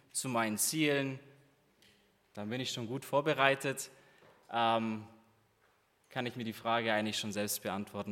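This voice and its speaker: A man's voice: medium pitch, fairly bright tone. A young man from Germany leading a youth group, talking casually with lots of fillers and questions to the group. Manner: casual, chatty, many fillers and rhetorical questions. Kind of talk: interactive talk to a youth group. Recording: reverberant hall sound.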